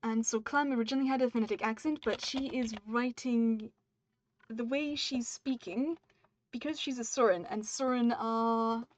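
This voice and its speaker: A woman's voice: fairly high pitch, steady tone, normal speed. A woman from the UK streaming her writing session, reading her draft aloud and stopping mid-sentence to make edits and chat with viewers. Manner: upbeat and friendly with viewers. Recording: dull, muffled stream mic.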